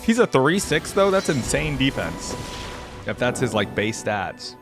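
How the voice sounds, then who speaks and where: Casting: male reaction-video host, average pitch, informal off-the-cuff commentary, with faint music behind. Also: very animated, unhurried. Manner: informal, thinking aloud.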